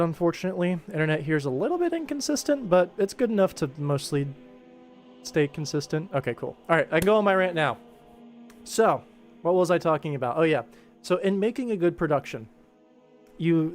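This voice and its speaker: A man with a slightly high voice talks casually on a livestream as he works. He explains things informally, thinking aloud like a director, with music playing behind him. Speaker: livestream host and animation director. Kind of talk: casual livestream commentary while working.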